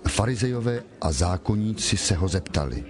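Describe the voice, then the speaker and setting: A man narrating scripture from a script in a solemn, measured manner. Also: on the low side, expressive. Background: music.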